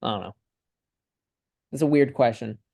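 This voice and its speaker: A young man from Ukraine chatting casually on a video call in a student discussion, thinking aloud in a tentative, halting, rambling way. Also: medium pitch, creaky, darkish tone, no room sound, clean studio sound.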